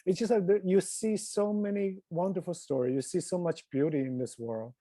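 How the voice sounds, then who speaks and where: A man from China with a Chinese accent, a filmmaker reflecting casually and earnestly on a panel, in a halting way. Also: high-pitched, mellow, no room sound.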